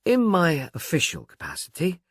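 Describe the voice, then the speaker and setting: A businesslike male police inspector, voiced by a male narrator, putting questions in a probing, official way. The delivery is dispassionate in wording yet varied in pitch, recorded dry as audiobook dialogue. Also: huge pitch swings, normal speed, breathy edge, no echo, full character voice.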